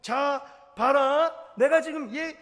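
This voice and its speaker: Preacher doing a loud coaxing healer, pitched way up, long hall echo. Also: male voice, slow pace, full character voice. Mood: emphatic.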